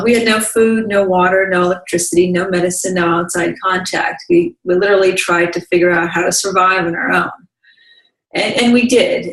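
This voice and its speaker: A woman in her thirties, a speaker telling her life story calmly as an interview guest, often restarting her sentences. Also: on the low side. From Bosnia. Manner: calm, measured storytelling with frequent restarts. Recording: distant, roomy sound.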